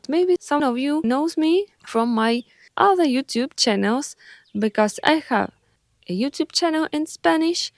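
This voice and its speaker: Ukrainian-born woman in her thirties, slow and hesitant, fairly high voice, dry close sound. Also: no echo. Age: 30s. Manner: unhurried, thoughtful, frequent hesitations. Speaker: vlogger. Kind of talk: personal introduction vlog.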